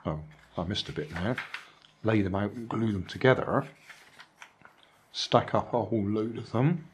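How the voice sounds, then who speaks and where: Chatty older grandad, lowish voice. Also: male voice, measured pace, slight background noise. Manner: dry, chatty, musing asides. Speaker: vlog host reviewing charity shop finds. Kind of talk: casual show-and-tell narration. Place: indoors at a table, hands on camera.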